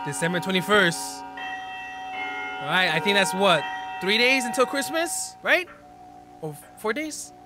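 A man in his twenties giving casual, expressive reaction commentary full of slang, speaking into a desk microphone. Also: high voice, takes it slowly, pauses often, over music. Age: 20s. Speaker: reaction video host. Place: small bedroom, indoors. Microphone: desk microphone close in front.

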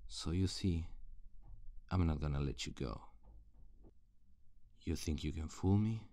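A hardened, wary male survivor played by a man in an ASMR zombie roleplay. His voice is extremely low and gravelly, and he talks slowly with quiet menace, like an interrogator. The sound is close and dry. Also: dry recording, exaggerated voice. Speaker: audio roleplay voice actor. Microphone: close, dry studio-style microphone.

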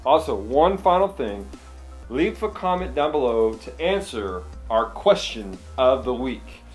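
A man's voice: medium pitch, big pitch swings, unhurried, soft background music. Laid-back man reading the channel outro. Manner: laid-back, upbeat promo patter. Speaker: co-host.

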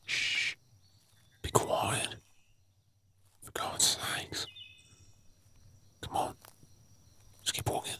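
An Australian man playing a weary, protective survivor of a zombie apocalypse in a whispered ASMR role play, hushed, urgent and coaxing. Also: very slow, long pauses, exaggerated voice. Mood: tense.

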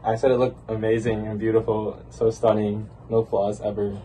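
A man's voice: lowish voice, little pitch movement, normal speed, dark tone. A young man, a friend of the vlogger, joking and laughing in a dorm room. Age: young adult. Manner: playful, joking, laughs a lot. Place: college dorm room, indoors. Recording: distant voice with clear background noise.

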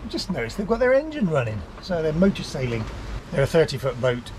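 A man in his fifties from the UK chats casually to his camera from the cockpit of a sailing yacht, his voice sitting slightly high for a man. He talks with a dry, self-mocking humour. Wind and rushing water stay clearly audible under his voice on the outdoor camera audio. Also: sing-song. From United Kingdom. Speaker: sailing vlogger. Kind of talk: casual vlog narration and to-camera chat. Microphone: action camera at arm's length. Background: wind and water noise.

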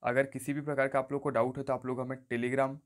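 A man's voice: medium pitch, level tone, a little fast. A man presenting a casual tech tutorial in an instructional way, often repeating stock phrases. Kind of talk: casual step-by-step phone tutorial. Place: voice-over on a phone screen recording.

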